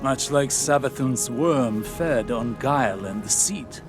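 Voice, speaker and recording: A man's voice: mid-range voice, expressive, slow pace. A man playing a stern, sceptical scholar-warrior in a video game, with a grave and pointed delivery. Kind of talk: scripted video game dialogue. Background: faint music.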